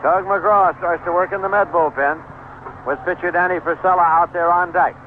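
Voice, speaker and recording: A man calling baseball play-by-play on the radio in measured, descriptive short phrases. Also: sits high, fairly even intonation. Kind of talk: live radio sports commentary. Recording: noisy, tinny vintage 1970s AM radio broadcast.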